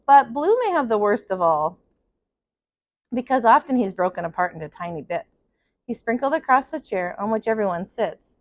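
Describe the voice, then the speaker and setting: A woman reading a children's picture book aloud in an expressive kindergarten teacher's style. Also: fairly high pitch, normal speed, no echo. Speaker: kindergarten teacher, storybook reader.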